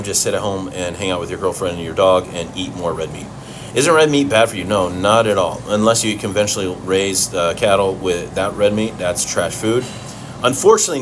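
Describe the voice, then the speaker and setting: A man's voice: fairly low pitch, background noise. A man from Chicago hosting a casual livestream Q and A from home, blunt and profane, answering questions while he eats. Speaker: fitness livestream host.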